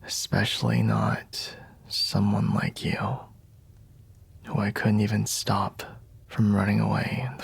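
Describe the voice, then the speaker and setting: A man playing a flustered, secretly tender young bodyguard in an intimate ASMR roleplay, speaking haltingly in a husky, breathy near-whisper. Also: fairly low pitch, heavily acted. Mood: grudging, defensive. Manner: hesitant, halting, grudgingly soft, frequent sighs.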